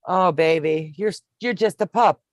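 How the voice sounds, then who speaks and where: A woman in her fifties hosting a sewing livestream, chatting warmly with viewers and explaining each step as she works. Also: fairly low pitch, moderate pace, no room sound. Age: 50s. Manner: homey, calls viewers baby, narrates each step. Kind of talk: casual sewing demonstration chat.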